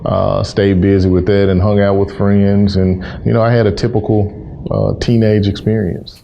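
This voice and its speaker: An American man in his thirties from Memphis, giving measured, earnest interview answers as a city official. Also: on the low side, little pitch movement, creaky, dark tone, muffled recording, a little hiss or hum. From Memphis, Tennessee, USA. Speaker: interview guest, city housing and community development director.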